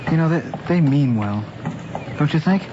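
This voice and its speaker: A man's voice: on the high side, animated, moderate pace, lightly acted. An American man acting an earnest, mild-mannered teenage boy chatting in a 1950s radio drama. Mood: musing. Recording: muffled old radio recording, noisy background.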